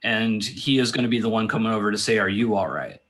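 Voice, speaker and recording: Man, slightly deep voice, director, screenplay narration, casual asides. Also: expressive, fast talker, a bit bright, low-quality recording. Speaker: director reading stage directions at a table read.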